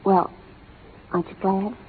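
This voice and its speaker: An actress playing a gentle, earnest young schoolmarm in a 1930s radio drama. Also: female voice, medium pitch, steady tone, measured pace, slightly rough. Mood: timid, earnest. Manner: timid, soft-hearted, earnest. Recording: old phone-like radio recording, hollow reverb, hiss.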